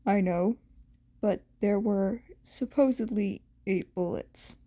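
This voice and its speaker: A woman voicing a stern male hero and teacher in a story, curt, deadpan and gruff. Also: mid-range voice, slow.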